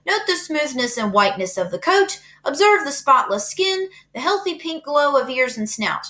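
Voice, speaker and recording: A woman voicing a pompous male fair announcer speaking over a loudspeaker, with grand, booming delivery. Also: sing-song, normal speed, exaggerated voice. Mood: grandiose.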